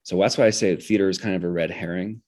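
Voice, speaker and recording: A man's voice: low-pitched, steady tone, fast, darkish tone. A man speaking as an interview guest, explaining ideas reflectively in an articulate, intellectual way and often restarting his phrases. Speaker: interview guest, theater director.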